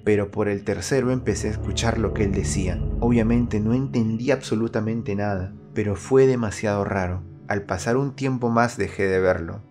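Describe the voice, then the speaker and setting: A man hosting an animated storytime video, narrating personal tales from a script. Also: on the low side.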